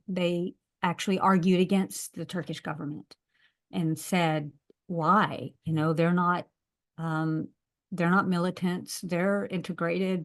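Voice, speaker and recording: American woman, lecturer, webinar speaker; lower voice, hesitant delivery with frequent fillers, video call audio. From United States. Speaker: university lecturer. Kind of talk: webinar talk.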